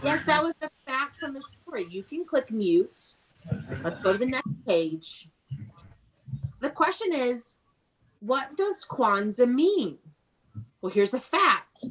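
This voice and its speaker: A woman's voice: high-pitched, sing-song, slow, long pauses, fairly bright tone, rough recording. A woman teaching young children in an interactive online read-aloud, enunciating key words and prompting them to repeat, recorded over a video call. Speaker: elementary school teacher.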